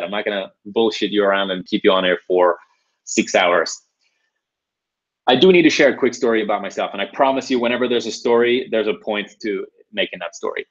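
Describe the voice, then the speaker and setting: A man's voice: mid-range voice, breathy edge. A young man from Sweden hosting a live webinar, talking casually with lots of "you know" and "right". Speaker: webinar host. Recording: dull lo-fi webinar audio.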